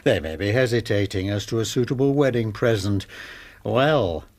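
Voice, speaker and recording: An English actor playing a smug, middle-aged master blackmailer in a radio drama, with pompous, mock-polite regret. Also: male voice, fairly low pitch, lively intonation, normal speed, darkish tone. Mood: mock regret, menacing. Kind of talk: British radio drama acting.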